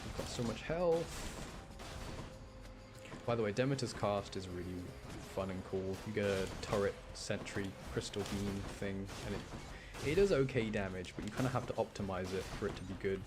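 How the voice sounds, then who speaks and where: A man with a fairly low voice gives casual gameplay commentary on a live stream. He moves between animated reactions and easygoing, rambling explanation, with music clearly playing behind him. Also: moderate pace.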